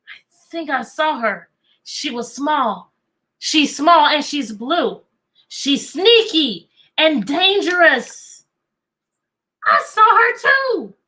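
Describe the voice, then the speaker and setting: A woman's voice: high voice, big pitch swings, slow, pauses often, pushed and bright, full character voice. A woman voicing anxious storybook townsfolk who fret and exclaim over their lost screens. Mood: alarmed.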